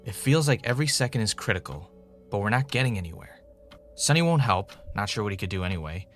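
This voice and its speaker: A man's voice: on the low side, animated, fast, faint music behind, natural voice. A man playing an earnest, dogged podcaster investigating a mystery in a naturalistic audio drama, with a wry, self-dramatizing streak. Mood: frustrated, anxious. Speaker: podcast host in a scripted drama.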